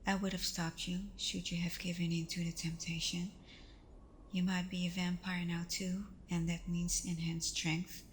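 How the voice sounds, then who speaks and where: A woman playing a tender, playful vampire girlfriend in an intimate ASMR roleplay, speaking softly and often slipping into whispers with hesitant pauses. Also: on the low side, little pitch movement, a little hiss or hum, close to an everyday voice, poor audio. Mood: teasing, reassuring. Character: tender female vampire girlfriend, reassuring, playful, a little vulnerable.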